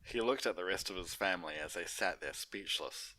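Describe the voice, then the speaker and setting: A man's voice: sits low, brisk, pushed and bright, dry recording, low-quality recording. A man narrating and hosting an unedited fan fiction story reading.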